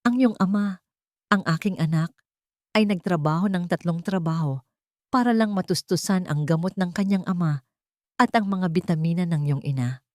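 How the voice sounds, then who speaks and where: A woman voicing a loving, dignified grandmother who speaks calmly and clearly in an audio drama. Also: fairly low pitch, moderate pace, no echo, clean studio sound, lightly acted. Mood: calm, earnest. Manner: calm but clear. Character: dignified, loving old grandmother, calm and resolute.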